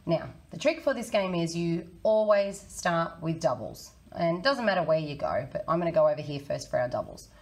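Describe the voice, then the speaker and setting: A woman in her thirties with a croaky, hoarse voice, teaching a children's maths lesson in a friendly, encouraging way. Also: lowish voice, expressive, moderate pace, few pauses, low-quality recording. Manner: friendly, encouraging, talks to kids. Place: small indoor room.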